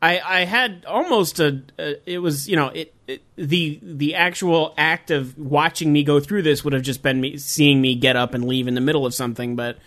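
A man's voice: a little high, normal speed, few pauses, fairly bright tone. A man in his thirties co-hosting a casual podcast, rambling with restarts and fillers and swearing now and then. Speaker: podcast co-host. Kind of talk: casual chat.

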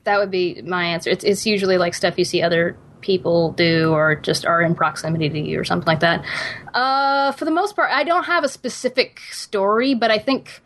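A young woman co-hosting a casual podcast, hesitant with fillers, her pitch jumping up when she gets excited. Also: brisk, slight background noise. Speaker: podcast co-host. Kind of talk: casual chat.

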